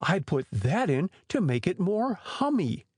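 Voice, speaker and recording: Slow, earnest storybook bear voiced by a woman. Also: lowish voice, big pitch swings, a little mellow, breathy edge, dry recording, studio quality, exaggerated voice. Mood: muddled, patient. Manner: ponderous, earnest. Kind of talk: dramatized children's storybook reading.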